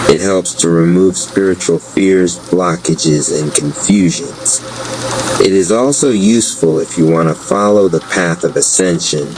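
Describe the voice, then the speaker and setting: Man, very low voice, slow measured delivery, scripted meditation narration, noisy background. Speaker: narrator reading a spiritual guide.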